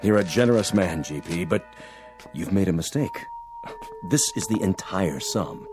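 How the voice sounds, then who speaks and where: Low-voiced courtly dignitary. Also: male voice, expressive, normal speed, over music. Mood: courtly, coy. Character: courtly, flattering male dignitary, genteel fundraiser and adviser. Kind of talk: radio drama acting.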